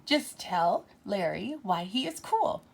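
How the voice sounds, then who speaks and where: A woman voicing a calm, reassuring storybook mother cat who gives gentle encouragement. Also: mid-range voice, slow pace, vocal fry, exaggerated voice.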